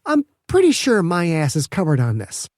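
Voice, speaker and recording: Brash, defensive female scientist, played by a male narrator, raised pitch, snappish delivery, mellow tone, audiobook. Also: huge pitch swings, moderate pace, no room sound, clean studio sound. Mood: defiant. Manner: curt, indignant, snapping.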